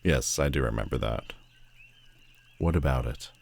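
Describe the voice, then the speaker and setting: A man playing a proud, sardonic telepathic villain with secret loneliness, speaking haughtily and dramatically in a roleplay audio drama. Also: extremely low pitch. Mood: haughty, defensive. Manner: haughty, dramatic, drawn-out emphatic phrasing.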